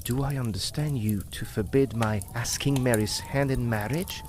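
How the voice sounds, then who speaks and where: A man's voice: mid-range voice, normal speed, background noise, lightly acted. A man playing a polite, earnest young farmer asking a father for his daughter's hand, in an old-time radio drama. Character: earnest young farmer suitor, Walter Markham.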